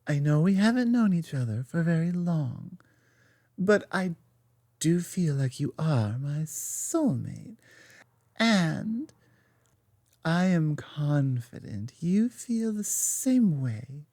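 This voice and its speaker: Woman acting as affectionate lamia girlfriend, soft dominant, intimate ASMR roleplay, expressive swooping intonation, sibilant lisp, close dry sound. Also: deep voice, no echo, heavily acted. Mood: tender, earnest. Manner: slow, halting, tender, earnest delivery.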